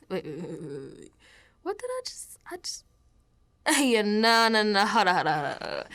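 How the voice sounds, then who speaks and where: An actress playing a frightened, defiant young woman who is unraveling, in a colloquial, halting radio drama monologue. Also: mid-range voice, wildly swooping pitch, heavily acted. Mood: rattled disbelief. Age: young adult. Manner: colloquial, halting, slow, drifting into hushed tones.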